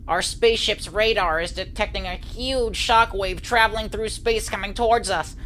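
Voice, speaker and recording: A woman playing a deferential, alarmed spaceship officer in an animated story, formal and urgent. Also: medium pitch, moderate pace, a little hiss or hum, close to an everyday voice. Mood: formal alert.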